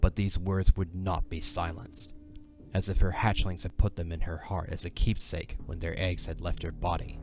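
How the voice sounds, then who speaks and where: A man's voice: sits low, level tone, moderate pace, faint music behind. A man narrating a fantasy audio drama in a dramatic, scripted storytelling style.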